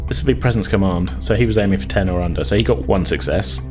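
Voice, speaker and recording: Low-voiced man running a tabletop game. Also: fast, over music. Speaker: game master. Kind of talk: narrating a tabletop roleplaying game, casual.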